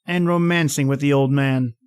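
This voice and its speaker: A man's voice: medium pitch, fairly even intonation, moderate pace, clean tone, natural voice. A man playing a teasing, romantic astronomy professor husband in a radio drama, with playfully pompous, wordy delivery. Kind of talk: old-time radio drama acting.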